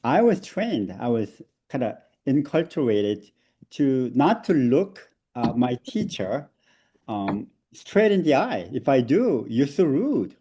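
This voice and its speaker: A man's voice: mid-range voice, slow pace, slightly rough. Korean-accented man leading an online seminar. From South Korea. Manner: animated, laughs often, hesitant fillers. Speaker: seminar presenter. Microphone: video call.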